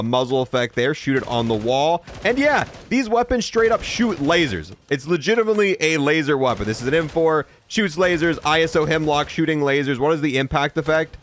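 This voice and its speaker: Male game reviewer, slightly high voice, very animated delivery, casual and joking commentary. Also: slight background noise. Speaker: video game reviewer and host.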